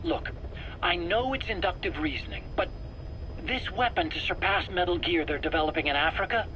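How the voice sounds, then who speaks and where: An anxious, defensive scientist under questioning in a game drama. His voice is bright and tense, with earnest, stammering self-justification. A faint tape noise sits over it. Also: high-pitched, lively intonation, moderate pace. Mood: earnest, intrigued. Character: nervous, self-justifying male scientist under interrogation, pleading. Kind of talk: video game voice acting. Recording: noisy cassette tape interrogation recording.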